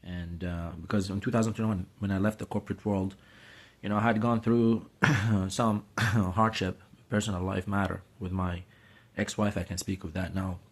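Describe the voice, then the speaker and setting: A man's voice: low voice, flat delivery, normal speed. A man in his late thirties from Syria, talking casually to a phone camera in a small room, rambling with many fillers and restarts. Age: late 30s. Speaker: vlogger. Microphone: phone camera held close.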